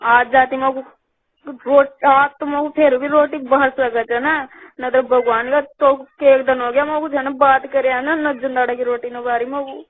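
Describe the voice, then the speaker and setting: Woman, high voice, Rajasthani accent. Casual, complaining phone chat with repetition, heard through a thin, narrowband line. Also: fairly even intonation, normal speed, a little hiss or hum. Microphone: phone call. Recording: tinny phone line call recording.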